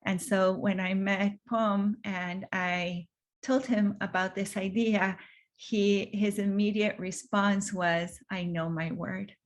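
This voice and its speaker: A middle-aged woman filmmaker from Venezuela, giving an online presentation over a video call in a soft manner with many pauses and ums. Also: medium pitch, little pitch movement.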